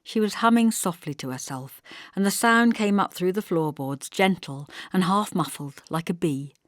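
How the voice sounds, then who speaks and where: A woman narrates a literary audiobook in a fairly low voice. Her storytelling is measured and expressive, and the recording is close and dry, with no room sound. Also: no echo. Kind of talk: scripted literary narration, first-person storytelling.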